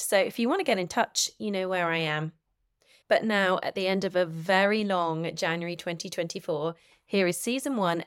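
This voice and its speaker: A woman's voice: on the low side, no room sound, clean studio sound. A woman hosting a podcast, speaking in an effusive, enthusiastic way as she introduces and interviews. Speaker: podcast host.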